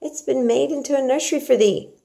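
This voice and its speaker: A young Yorkshire housemaid played by a woman reading a storybook, good-natured and sturdy. She talks in a homely, familiar way, pitched up and lively, with some creak in the voice. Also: a little fast. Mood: matter-of-fact.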